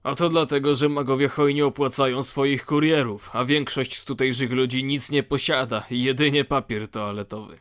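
A man's voice: medium pitch, steady tone, normal speed, hardly any pauses, a bit bright, close to an everyday voice. A man playing blunt, dryly sardonic convicts of a penal colony in a fantasy audio drama. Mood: blunt, matter-of-fact.

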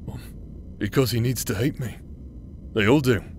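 A man's voice: mid-range voice, normal speed, a little mellow, slight background noise, natural voice. A man acting in an intimate audio roleplay as a teasing, roguish outcast brother who plays the villain but is secretly tender, with a hesitant, chuckling drawl. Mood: confiding, earnest. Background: music.

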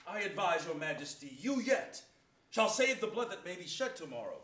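A man's voice: high-pitched, big pitch swings, normal speed, fairly bright tone. A man playing an eloquent, deferential noble lord advising his king, in theatrical Shakespearean verse. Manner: theatrical, courtly. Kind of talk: staged reading of Shakespearean verse. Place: theatre stage before an audience. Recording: echoey theatre stage.

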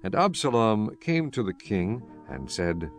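A man with a lowish voice narrates scripture. His delivery is formal and solemn, like a storyteller, with music underneath. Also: big pitch swings, measured pace. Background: background music.

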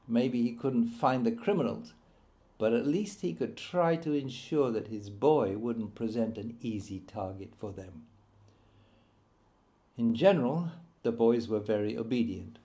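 A man's voice: mid-range voice, darkish tone. Man reading a memoir aloud. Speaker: author reading his own memoir. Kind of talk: scripted audiobook narration.

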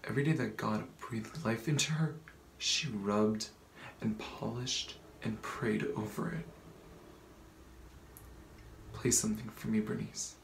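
A man's voice: lowish voice, unhurried, creaky, pauses often. A young man performing a dramatic stage monologue as a bitter, grieving, accusing family member, with emphatic repetition. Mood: mournful. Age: young adult. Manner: emphatic, repeating phrases. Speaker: student actor. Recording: roomy echo with background noise.

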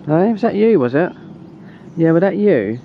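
A man's voice: a little high, sing-song, moderate pace, creaky. Chummy southern English man, muffled sound. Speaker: vlogger and CB radio hobbyist. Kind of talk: casual vlog chat. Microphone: handheld camera. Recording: dull, noisy handheld camera audio.

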